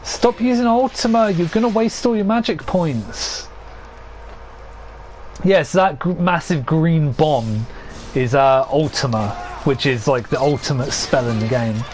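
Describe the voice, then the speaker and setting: A man's voice: a little high, measured pace, darkish tone, a little hiss or hum. Chatty young man streaming with a fraying voice. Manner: rambling, chatty, laughs often at own jokes. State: hoarse, losing his voice. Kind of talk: casual gameplay commentary to chat.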